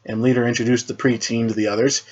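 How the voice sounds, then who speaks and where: A man's voice: medium pitch, flat delivery, fast talker, darkish tone, muffled recording. A man reading scripted narration as the storyteller of a superhero tale.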